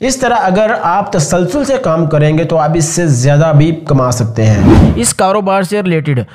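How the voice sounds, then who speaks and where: A man's voice: a little high, lively intonation, moderate pace, a little mellow. A man with a Pakistani accent hosting an explainer video, reading a voice-over that addresses viewers directly and instructively. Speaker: video host. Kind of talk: scripted explainer voice-over narration.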